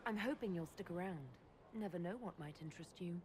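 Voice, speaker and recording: An actress playing a calm, earnest leader in a fantasy video game, with a dry, restrained manner. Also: female voice, lowish voice, brisk, background noise, natural voice. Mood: dry, wry. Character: composed, earnest young female fantasy leader, dryly wry. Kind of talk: video game character acting.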